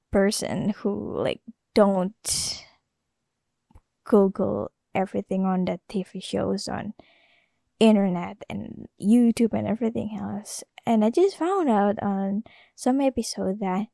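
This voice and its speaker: A woman's voice: mid-range voice, creaky, mellow, dry recording, clean studio sound. An Indonesian woman, a native Indonesian speaker with an Indonesian accent, hosting a casual solo podcast in a rambling, hesitant way full of fillers. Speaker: podcast host.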